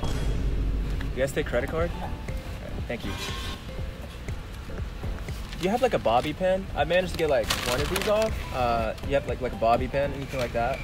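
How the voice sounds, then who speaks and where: A man's voice: mid-range voice, lively intonation, normal speed, long pauses. A young man chatting casually as host of a prank vlog, in a hesitant, offhand way. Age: young adult. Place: inside a van at drive-thru windows. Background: loud music.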